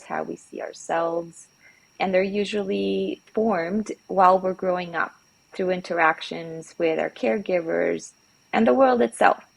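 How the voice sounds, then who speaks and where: A middle-aged woman from California, a behavior analyst guest on a podcast, explaining ideas in a calm, measured way. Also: mid-range voice, vocal fry, rough recording. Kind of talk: casual interview, explaining.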